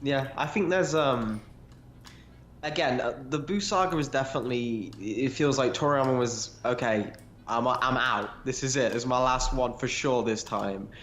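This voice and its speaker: A man's voice: mid-range voice, normal speed, a bit bright. A man in casual analytical fan discussion as a podcast co-host, explaining as he thinks aloud, with some swearing. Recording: echoey, roomy sound.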